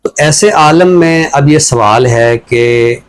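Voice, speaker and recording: A man with a Pakistani accent giving reflective, conversational commentary as a scholar, explaining things in a measured way. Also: mid-range voice, clean tone, poor audio. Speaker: scholar commentator on a talk show.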